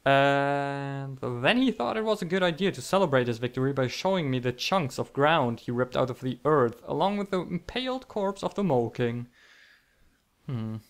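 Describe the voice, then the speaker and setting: A young man with a medium-pitched voice tells comic stories from a script. He treats small events as grand epics with theatrical wording, and steps aside to poke fun at himself. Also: expressive, moderate pace. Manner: mock-epic, theatrical, self-deprecating asides. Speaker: online storyteller. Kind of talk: comic scripted storytelling narration.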